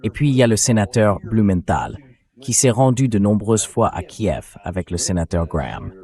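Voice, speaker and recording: Male host for translated political talk, synthetic read, lowish voice with wide melodic swings. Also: lively intonation, normal speed, dark tone.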